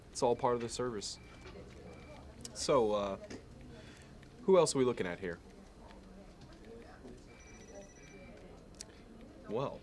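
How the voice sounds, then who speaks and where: Low-voiced smug Wall Street banker played by a man, slick and fussy ASMR roleplay, audible background noise. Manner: smooth sales talk, measured and fussy. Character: smug, fastidious investment banker, slick and contemptuous.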